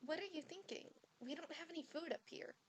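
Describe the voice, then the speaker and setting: A woman playing a polite, anxious teenage witch in an amateur audio drama, recorded very quietly. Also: very high-pitched, quick pace. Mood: worried. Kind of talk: hobby audio drama acting. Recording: very quiet, faint background noise.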